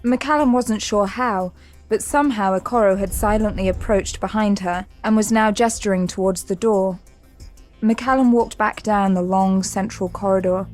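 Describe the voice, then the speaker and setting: A woman's voice: medium pitch, moderate pace, darkish tone, faint music behind. A woman narrator reading dramatic scripted story narration for an audio drama.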